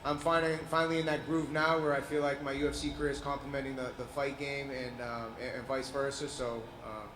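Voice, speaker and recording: A man's voice: medium pitch, flat delivery, fairly quick, few pauses. A man from New England, a fighter and promoter, answering questions at a press scrum in a casual way, swearing freely and saying "man" a lot. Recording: echoey room with loud crowd noise.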